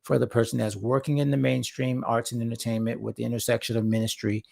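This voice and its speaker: A man hosting a casual livestream for faith-focused creatives, speaking earnestly and repeating words for emphasis, his voice slightly hoarse. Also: mid-range voice, little pitch movement, fast, a little mellow. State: slightly hoarse after a long session. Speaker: host and founder of a faith-focused creative platform. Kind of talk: casual livestream talk to viewers.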